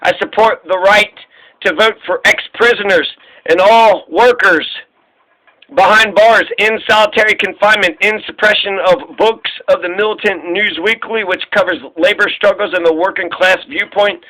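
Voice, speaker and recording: A man's voice: sits high, fairly even intonation, moderate pace, pushed and bright, low-quality recording. A man reading a political questionnaire aloud, sometimes stumbling and repeating phrases as he goes. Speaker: video creator reading aloud.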